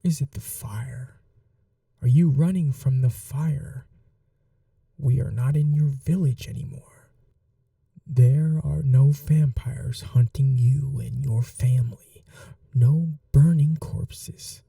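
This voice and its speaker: Woman as cruel vampire mistress, very dark mellow tone, slow breathy half-whisper, possessive, menacingly tender, ASMR roleplay. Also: extremely low pitch, no room sound, clean studio sound, recorded from a distance, full character voice. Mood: possessive, commanding. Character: cruel, possessive vampire mistress, silkily taunting her betrothed captive. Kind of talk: ASMR audio roleplay, dramatic acting.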